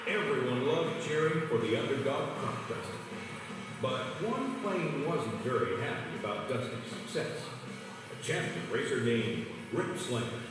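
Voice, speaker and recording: A man's voice: mid-range voice, animated, unhurried. A man reading a children's read-along story as a scripted narrator. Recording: echoey hall, distant, music behind. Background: music.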